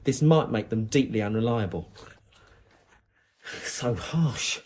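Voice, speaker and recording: An actor playing a cocky, jittery criminal informant with a husky voice, in radio drama style. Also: male voice, medium pitch, expressive, takes it slowly, a little mellow. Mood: nervy, bitter. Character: cocky, jittery male police informant and small-time criminal. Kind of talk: naturalistic radio drama acting.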